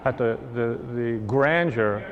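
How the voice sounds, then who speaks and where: A man giving an unscripted, somewhat halting interview answer in a large hall, as an interviewee. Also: medium pitch. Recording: dull, long hall reverb, faint noise.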